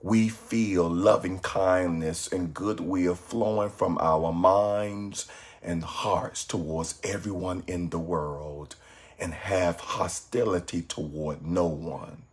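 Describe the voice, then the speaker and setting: Guy reading a guided meditation, really low voice, super slow and calm, lots of pauses. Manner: calm, measured, soothing delivery with pauses.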